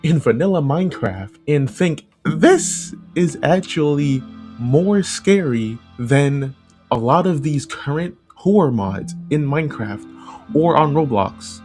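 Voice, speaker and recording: A man's voice: mid-range voice, darkish tone. A man giving informal, opinionated video commentary in a conversational way, repeating phrases for emphasis. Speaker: video essay host and analog horror creator. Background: background music.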